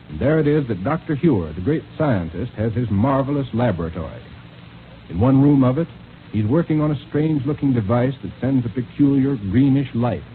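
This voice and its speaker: A man with an American accent reading scripted announcements and narration in 1930s radio style. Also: medium pitch. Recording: tinny 1930s radio recording, faint noise.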